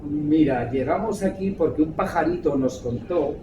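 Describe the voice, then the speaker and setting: A man's voice: a little high, moderate pace, recorded from a distance. Brief: male interviewer from Spain, conversational, off-mic in an echoey noisy room. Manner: conversational, asking questions.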